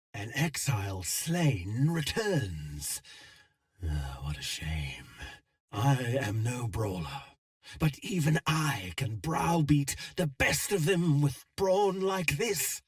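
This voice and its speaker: An actor playing an eloquent, scheming syndicate villain in a video game, with a pompous, florid, theatrical delivery. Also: male voice, mid-range voice, no echo, clean studio sound, full character voice. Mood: sly, menacing, then boastful, mocking.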